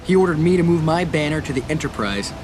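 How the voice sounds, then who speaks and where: An actor playing a battle-weary navy captain who narrates in a solemn, measured way in a video game. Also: male voice, a little high, animated, noisy background, close to an everyday voice. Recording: voice over loud music score.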